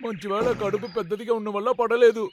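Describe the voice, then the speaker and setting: A man voicing an eager, naive, excitable younger-brother bear in a dubbed children's cartoon. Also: high-pitched, fast, slight background noise, lightly acted.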